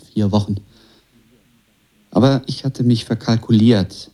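A low male voice with a very mellow, dark colour as a young man who refused the draft. He tells his story in short colloquial phrases, wry and self-mocking, as a radio drama monologue. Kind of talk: radio drama monologue, first-person testimony.

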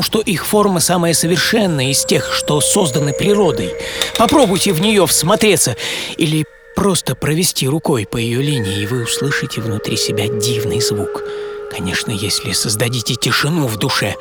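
An actor playing an eccentric, dreamy architect in his seventies in a theatrical radio monologue full of pauses. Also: mid-range voice, lively intonation, close to an everyday voice. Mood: confiding, wondering. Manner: theatrical, pausing, swinging from hushed to emphatic. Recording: studio voice over prominent music.

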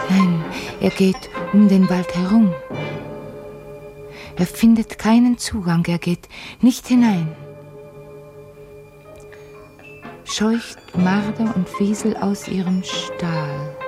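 An actress playing a dreamy, restless young woman in a literary radio drama, speaking in a halting, trance-like way. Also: fairly low pitch. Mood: dreamy, searching.

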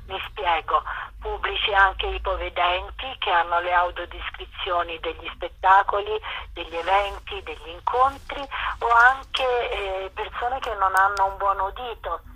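A woman interviewed as a festival director, explaining earnestly and at length, pausing to search for words. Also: medium pitch, bright, forceful tone, slightly rough, low-quality recording. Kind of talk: interview answers, explanatory talk.

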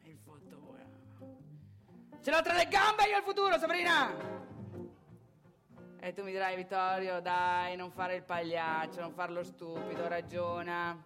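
A man's voice: sits high, level tone, normal speed, hardly any pauses, faint music behind. An actress voicing a crude, defiant Italian man in an emphatic theatrical monologue. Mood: mocking, exasperated, then bitter, defiant. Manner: emphatic, repetitive, bursts into shouts. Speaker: actor in a solo stage monologue.

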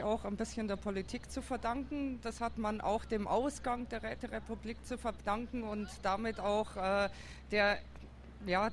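A woman speaks outdoors on a busy city square with a faint hum of traffic behind her. She answers questions in a thoughtful, hesitant way. She often stops to search for words. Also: mid-range voice. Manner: thoughtful, hesitant with many fillers. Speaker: interviewee, district councillor. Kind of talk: street interview.

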